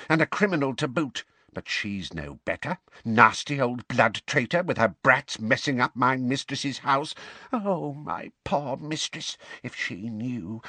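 A bitter, ancient male house elf , as a male narrator puts him on, grumbling hoarsely to himself in a raised, strained voice. He sounds resentful and self-pitying, set close and dry in a storybook reading with wide swings in pitch. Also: pushed and bright, no echo, full character voice. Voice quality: hoarse muttering.

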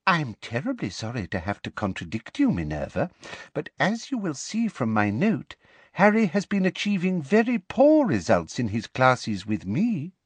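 A man's voice: mid-range voice, huge pitch swings, normal speed, slightly rough, no room sound, pitched above the performer's own voice. A man voicing a falsely sweet, meddling woman official in an audiobook, honeyed, simpering and over-polite. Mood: politely needling.

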